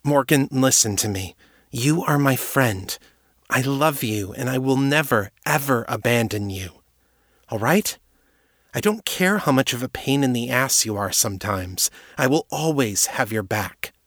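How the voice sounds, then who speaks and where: A man's voice: lowish voice, lively intonation, moderate pace, fairly bright tone, dry recording, studio quality. A man voicing a blunt, loyal woman police detective with plain, direct speech. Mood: earnest. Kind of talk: audiobook character voice.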